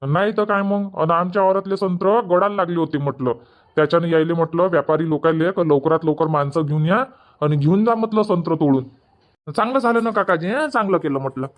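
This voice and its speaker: A man's voice: fairly high pitch, quick pace, lightly acted. A man playing plain-spoken village orange farmers in a cartoon comedy, chatting colloquially with a Varhadi Marathi accent from Vidarbha. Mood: chatty. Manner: colloquial, repetitive rustic chatter. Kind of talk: dubbed cartoon comedy dialogue. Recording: dull lo-fi audio.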